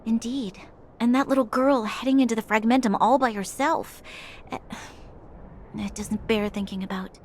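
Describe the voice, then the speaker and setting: A woman's voice: a little high, steady tone, normal speed, slight background noise, lightly acted. A woman voicing a composed, resolute guard warrior in an anime-style game. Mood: thoughtful. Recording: music behind.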